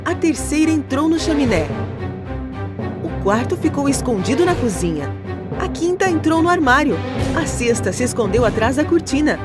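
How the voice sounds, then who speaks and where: A woman narrates a children's tale in a high, expressive voice. Her delivery is dramatic and lively, rising and falling widely, with music clearly behind her. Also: moderate pace. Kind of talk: scripted storybook narration.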